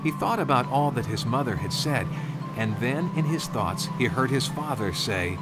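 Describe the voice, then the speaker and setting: Animated male storyteller over music. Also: medium pitch, normal speed, low-quality recording. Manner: dramatic, conversational asides. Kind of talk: scripted story narration. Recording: music under the voice.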